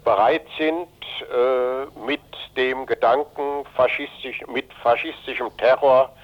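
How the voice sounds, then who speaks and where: An elderly man in his eighties from Germany, speaking deliberately and emphatically in a political radio interview, pausing between phrases. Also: mid-range voice. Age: 80s. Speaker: interview guest, retired journalist and anti-fascist activist. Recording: dull, lo-fi radio recording.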